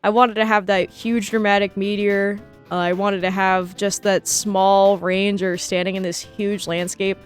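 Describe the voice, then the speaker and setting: A young woman with an American accent talks in a casual, chatty way about art. She sounds enthusiastic, with a medium-pitched voice. Soft music plays behind her. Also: flat delivery, unhurried, few pauses. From New Jersey, United States. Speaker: artist and video host. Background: background music.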